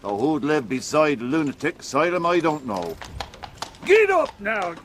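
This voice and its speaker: A plain-spoken working-class carriage driver in an audio drama. He is deferential yet gossipy, with a lively, fairly high delivery and faint horse-and-cart noise behind him. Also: moderate pace. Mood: wary, gossipy. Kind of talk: gothic audio drama acting.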